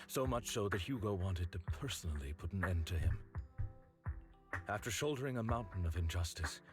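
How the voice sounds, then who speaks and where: An actor playing a stern, principled agent in a video game, speaking gravely, formally and deliberately. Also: male voice, deep voice, lively intonation. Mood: solemn, troubled. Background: game music.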